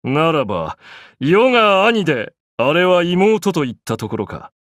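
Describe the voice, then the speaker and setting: An actor playing an arrogant, grandiose young pharaoh in an anime game, declaiming in an imperious, pompous way. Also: male voice, on the high side, big pitch swings, a little slow, a bit bright, dry recording, clean studio sound. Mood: proud, commanding.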